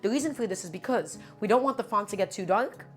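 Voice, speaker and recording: Cooking streamer, a woman whose first language is Russian, talking at a mid pitch. Casual and instructive, she keeps calling the viewers "my friends." Soft music plays in her home kitchen. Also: expressive, quick pace. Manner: chatty, instructive, often says "my friends". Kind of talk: live cooking demonstration.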